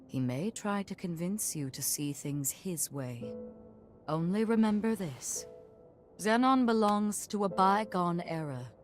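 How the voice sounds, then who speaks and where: A composed female guide from a video game. Her voice is low and slow, with formal, earnest phrasing and a solemn air. Soft music sits behind her. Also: animated. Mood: earnest, cautioning. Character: solemn, composed woman guiding a hero on a mission. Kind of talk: dramatic video game acting.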